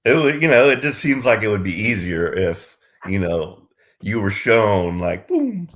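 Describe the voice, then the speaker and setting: A man's voice: lowish voice, sing-song, measured pace, a bit bright. A man asking questions in a spiritual Q&A, talking hesitantly and feeling for his words. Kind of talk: casual conversation.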